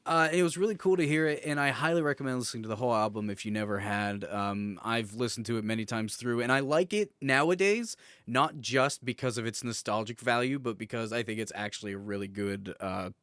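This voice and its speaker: A man's voice: medium pitch, fairly quick, few pauses, fairly bright tone, smooth, dry recording, clean studio sound. Slangy young man chatting on a podcast. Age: 20s. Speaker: podcast co-host. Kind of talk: casual podcast chat.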